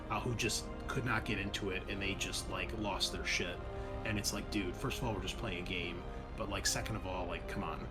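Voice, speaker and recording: Man hosting a tabletop game, rambling casually. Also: on the low side. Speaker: game master and host.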